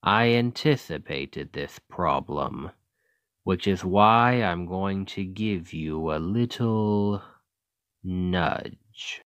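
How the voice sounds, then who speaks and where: Man voicing a slow, deep, condescending Demon King. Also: a bit bright, smooth, no echo, full character voice. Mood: cold, condescending. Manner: measured, condescending, menacing. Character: ancient male Demon King, coldly calculating, condescending tyrant. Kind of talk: ASMR roleplay monologue.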